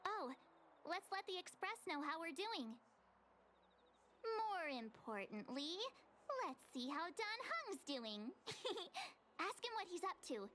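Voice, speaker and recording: High, animated, perky young girl. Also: slow pace, long pauses, a little hiss or hum, full character voice. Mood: cheerful, playful. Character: carefree, perky, cheeky young girl traveler. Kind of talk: scripted video game acting.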